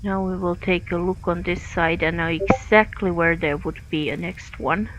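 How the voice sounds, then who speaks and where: A woman's voice: on the low side, steady tone, moderate pace, fairly bright tone, dull audio, a little hiss or hum. A woman from Finland with a Finnish accent, thinking aloud with many fillers while she streams a game. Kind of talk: live gameplay commentary.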